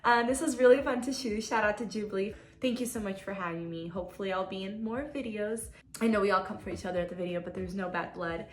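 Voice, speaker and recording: A woman's voice: mid-range voice, fast, reverberant, a little hiss or hum. A young woman from Brazil, Portuguese her first language, chatting casually to camera and telling a story with lots of "like". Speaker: vlogger.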